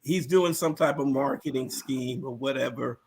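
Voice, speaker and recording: A man talking casually and with strong opinions as a panel guest, animated and emphatic, often repeating himself. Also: mid-range voice, moderate pace, breathy edge.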